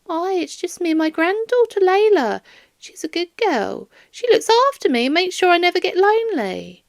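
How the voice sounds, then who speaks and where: A woman's voice: extremely high pitch, big pitch swings, moderate pace, vocal fry, no room sound, clean studio sound, pitched above the performer's own voice, full character voice. A woman voicing a flustered, doting older woman who works at a museum, in a rambling, plaintive and baffled manner, for an audiobook. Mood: puzzled, fond. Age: 60s.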